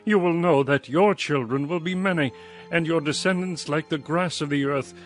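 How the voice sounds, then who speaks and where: An actor playing an earnest, pious counselor who admonishes solemnly and with assurance in a dramatized scripture reading. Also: male voice, fairly high pitch, normal speed, natural voice. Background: music.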